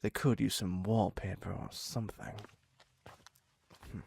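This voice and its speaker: A man's voice: on the low side, fairly even intonation, measured pace, creaky, a little mellow, a little hiss or hum. A man playing a theatrical, clingy serial killer in an audio roleplay, wry and mock-courteous as he dotes on his captive. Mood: wry, dismissive. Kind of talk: scripted dark romance audio roleplay.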